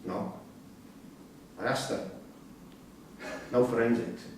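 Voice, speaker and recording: A man's voice: medium pitch, slow, darkish tone, close to an everyday voice. A man acting a volatile, suspicious prisoner in a staged reading, blunt and sweary in clipped street talk. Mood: guarded, tense. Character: volatile, suspicious male prisoner, an armed robber. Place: theatre room at a live staged reading. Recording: echoey hall, far mic, faint noise.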